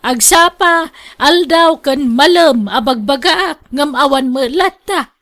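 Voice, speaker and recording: A woman's voice: high voice, animated, takes it slowly, a bit bright, exaggerated voice. A woman playing an exasperated older mother in a radio drama, complaining wearily about her wayward son.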